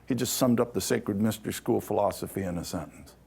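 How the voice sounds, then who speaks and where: Low-voiced man giving a casual lecture. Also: quick pace, gravelly, darkish tone, slightly rough. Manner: conversational, adds checks like "okay?" to his points. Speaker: lecturer on ancient Egyptian spirituality. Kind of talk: informal public lecture with Q&A. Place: lecture hall before an audience.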